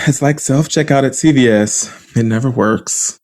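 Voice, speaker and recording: A man's voice: mid-range voice, normal speed, a little mellow, close to an everyday voice. A man performs a rueful, socially awkward boyfriend for a comedy audio drama. His voice sits in a normal male range.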